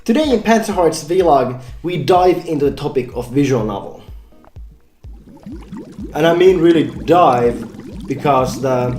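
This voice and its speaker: A man's voice: medium pitch, a little mellow, roomy sound. A man hosting a scripted explainer vlog, addressing viewers informally as he explains things step by step. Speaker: game developer and vlog host.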